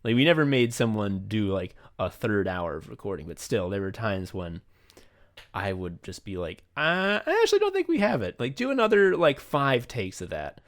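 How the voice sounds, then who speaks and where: Male podcast co-host with very lively, swooping intonation; casual, profane, self-deprecating. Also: lowish voice, moderate pace. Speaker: podcast co-host and audio play director. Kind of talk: casual behind-the-scenes chat.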